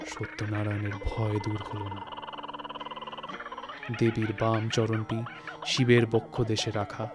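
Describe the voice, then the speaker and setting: A man narrating a literary story in a dramatic, suspenseful way, with expressive phrasing and deliberate pauses. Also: fairly low pitch. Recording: studio recording with music. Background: music and night insect sounds.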